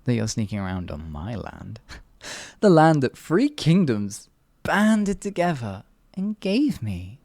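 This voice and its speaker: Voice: medium pitch, sing-song, slow pace, darkish tone, dry recording, clean studio sound. A performer voicing an evil, sadistic sorcerer in an ASMR fantasy roleplay, theatrical and condescending, stretching words and slipping into menacing whispers. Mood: mocking menace. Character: evil, sadistic, all-powerful sorcerer, gloating and cruel.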